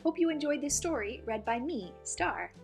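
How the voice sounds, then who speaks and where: A woman reading a picture book aloud for young children, with expressive, clearly phrased delivery as the host. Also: high voice, moderate pace, faint music behind. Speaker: children's story reader and host.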